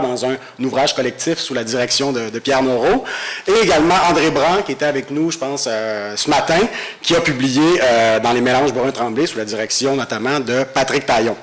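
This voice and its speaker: A man gives an academic law lecture at a university, speaking through a head cold in a measured, explanatory way. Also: mid-range voice. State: has a cold. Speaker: guest lecturer, law professor.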